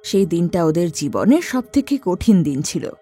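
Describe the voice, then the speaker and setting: A woman narrating a cartoon horror story with a lively, rising and falling pitch. Her tone is warm and slightly husky. Soft music plays faintly behind her. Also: normal speed. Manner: expressive storytelling.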